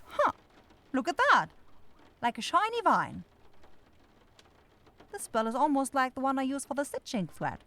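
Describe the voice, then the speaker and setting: Flustered young forest witch female actor, fantasy audio roleplay. Lively swooping pitch, unhurried, dithering, kind-hearted. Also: a little hiss or hum, full character voice. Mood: pleased, explaining. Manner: dithering, halting, searching for words.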